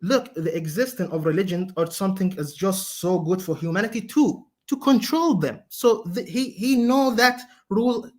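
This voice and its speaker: A man calling in to a debate, speaking in halting, broken English full of fillers. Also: sits high, darkish tone. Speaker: caller. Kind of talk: hesitant debate talk.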